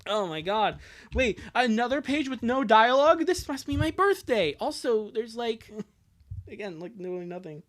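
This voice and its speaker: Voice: medium pitch, sing-song, moderate pace. Livestreamer, casual chat, giggly, rambling, exclaiming, very varied intonation. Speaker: streamer, dub reader.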